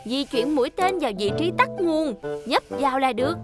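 A woman's voice: high-pitched, expressive, moderate pace. A woman voicing a helpful, cheerful young female technician in a children's cartoon dub, friendly and instructive. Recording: music under the voice.

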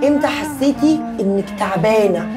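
A woman in her thirties with an Egyptian accent, hosting a conversational interview with expressive, probing questions. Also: on the high side, fairly quick. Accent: Egyptian Arabic. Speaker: TV host. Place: small shoe repair workshop. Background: background music.

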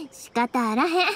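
A woman playing a cheerful, bustling young fireworks maker in a video game, chatty and expressive, with a Kansai accent. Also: high voice, noisy background, exaggerated voice.

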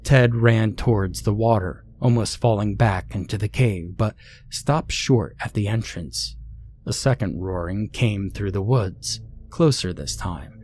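A man's voice: lowish voice, unhurried, a little mellow, a little hiss or hum. A man narrating a scary story in a scripted, dramatic storytelling style.

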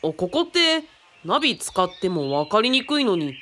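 A woman's voice: mid-range voice, very animated, normal speed, a bit bright, a little hiss or hum. A woman playing a frank, curious, wry apprentice in a radio drama, speaking casually and bluntly. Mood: baffled, wry.

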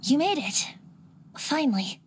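A woman's voice: high voice, sing-song, unhurried, slight background noise. A woman voicing a playful, breezy young boy bard in an anime game, with a light, lilting, theatrical delivery. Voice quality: light, boyish. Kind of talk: anime game character voice acting. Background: music.

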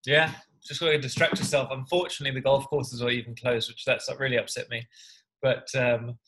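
Early-thirties male guest on webcam call; relaxed, rambling, mid-range pitch. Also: brisk, breathy edge, low-quality recording. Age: 30s. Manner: easygoing, rambling with repeats. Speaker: guest, personal trainer and golfer. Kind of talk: casual video-call interview. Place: home room, indoors. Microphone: video call webcam.

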